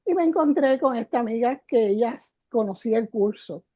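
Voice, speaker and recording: A woman's voice: on the high side, expressive, brisk, warm tone, no room sound, highs cut off. An older woman, a guest teacher, telling her story in an interview with emotion, repeating words for emphasis. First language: Spanish.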